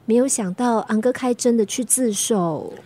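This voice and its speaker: A woman's voice: fairly high pitch, moderate pace, mellow, close to an everyday voice. An actress playing a worried, nagging teenage schoolgirl in a radio drama, speaking with a Singaporean accent. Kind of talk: Mandarin radio drama acting.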